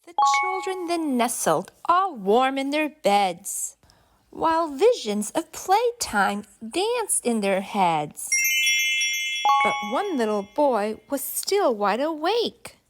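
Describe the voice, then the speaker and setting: A woman reading a rhyming picture book to preschoolers in an expressive, deliberate, sing-song storytelling way. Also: sits high. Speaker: children's story reader and channel host.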